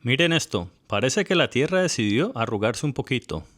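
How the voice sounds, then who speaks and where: A man's voice: medium pitch, sing-song, normal speed, a bit bright. A man reading a polished, lightly witty scripted voice-over for a travel video. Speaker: narrator.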